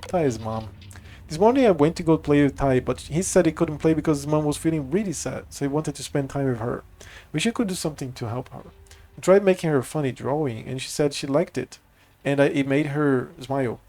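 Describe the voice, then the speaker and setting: A game streamer with a medium-pitched voice talks casually through a playthrough. The delivery moves between offhand commentary and reading game text aloud. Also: fairly quick, mellow.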